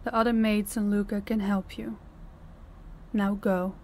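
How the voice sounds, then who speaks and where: A woman playing a stern, condescending female mafia boss in an intimate audio roleplay, giving measured orders directly to the listener. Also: mid-range voice, flat delivery, a little mellow, a little hiss or hum, lightly acted. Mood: measured, commanding.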